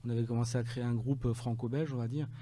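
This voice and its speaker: A man with a very dark, mellow voice at a middle pitch. He speaks haltingly, with many restarts, as a guest on a radio show. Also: level tone. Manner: hesitant, many fillers and restarts. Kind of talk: radio interview.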